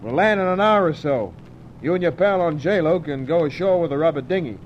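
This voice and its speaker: An actor playing a gruff, secretive bush pilot, who talks in a curt, folksy, guarded way in a 1950s radio serial. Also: male voice, fairly high pitch, expressive, a little fast, lightly acted. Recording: dull old radio recording with hiss.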